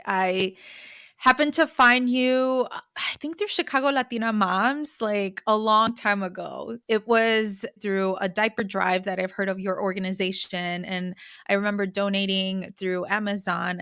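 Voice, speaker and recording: A woman's voice: mid-range voice, pushed and bright, clear, resonant tone. A woman podcast host, earnest, mixing Spanish words into her English as she reads an intro and chats with a guest. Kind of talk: scripted intro then casual interview.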